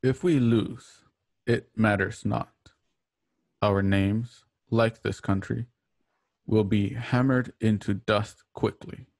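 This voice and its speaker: A man playing a grave, fatalistic rebel in a radio play, terse, deliberate and solemn. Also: fairly low pitch, steady tone, darkish tone, no echo, clean studio sound. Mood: grave, resolute. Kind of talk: remote radio play reading.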